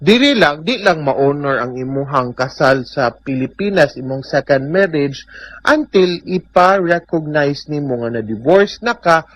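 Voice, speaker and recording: A man's voice: a little high, lively intonation, a little slow, a bit bright, rough recording. A man with a Filipino Cebuano accent giving advice as a radio host, emphatic and switching into English phrases.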